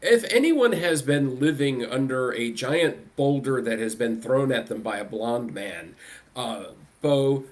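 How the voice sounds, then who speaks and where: A man with a mid-pitched voice hosts a fan panel. He talks casually as he introduces the guests and keeps things moving. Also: expressive, unhurried. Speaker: panel host, convention track director.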